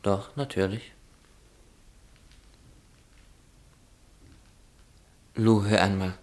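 An actor playing a guarded, ironic young upper-class son in a radio drama, with a clipped, dryly teasing way of talking. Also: male voice, fairly low pitch, fairly even intonation, normal speed, a little mellow, natural voice. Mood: uneasy. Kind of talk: German radio drama acting.

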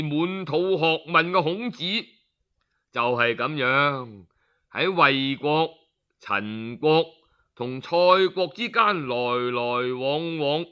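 A man with a Cantonese accent narrating a traditional story in a colloquial, expressive storytelling style. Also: a little high. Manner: colloquial, expressive, with sighs and exclamations. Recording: slightly dull, lo-fi audio.

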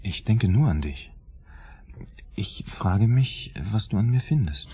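An actor playing a brooding, moralistic, jealous husband in his thirties in a German radio drama, with a husky voice and an earnest, deliberate manner. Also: fairly low pitch, lively intonation, mellow, exaggerated voice. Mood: insecure, needy.

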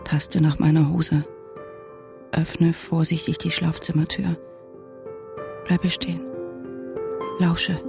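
Give a low female voice, a brooding wife in her late thirties. She delivers an inner monologue for a radio play in short, hushed, careful sentences, at times almost whispering, with music underneath. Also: level tone, slow, gravelly, long pauses, heavily acted. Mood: tense, fearful. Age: 30s. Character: brooding wife of a vanished tycoon, 37, mother. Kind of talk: literary radio drama monologue, first person.

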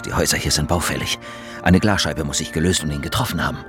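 Radio drama official: deep, sober, matter-of-fact, measured delivery over music. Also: male voice. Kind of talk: German radio crime drama acting.

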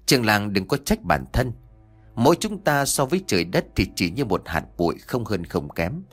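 A man voicing a calm, philosophical male traveller with a measured, courteous manner in a told story. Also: on the low side, soft background music. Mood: consoling, solemn.

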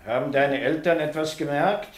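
A man playing a wry, gently stern professor in a radio play, with an ironic, deliberate way of talking. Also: on the high side, lightly acted. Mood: teasing irony. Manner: ironic, deliberate, thoughtful humming. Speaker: actor. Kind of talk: German radio play acting. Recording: roomy classroom-like echo.

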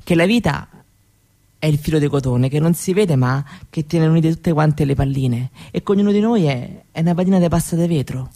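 An actress playing a dreamy young Roma woman recalling her childhood in an intimate, halting radio monologue. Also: sits low. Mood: wistful, wondering. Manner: halting, wistful, with soft repetitions.

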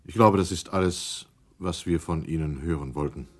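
A man's voice: sits low, moderate pace, slight background noise, close to an everyday voice. A man playing a formal, courteous committee chairman who questions witnesses in a documentary radio drama.

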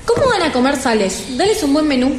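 A woman playing a brisk waitress in an amateur radio play. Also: sits high, very animated, background noise. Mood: brisk, obliging. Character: brisk waitress in a small neighbourhood bar. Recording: echoey room with kitchen clatter.

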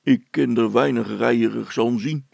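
A man puts on a sardonic village policeman for a children's story. He grumbles and needles in everyday speech, with a very gravelly, husky, hoarse voice at medium pitch. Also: a little slow, darkish tone, no room sound. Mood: sneering. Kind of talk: children's story character acting.

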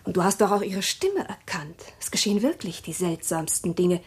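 Anxious, probing wife. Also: female voice, fairly low pitch, big pitch swings, normal speed, a little mellow, breathy edge, heavily acted. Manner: questioning, insistent. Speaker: wife Pamela. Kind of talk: German radio drama acting.

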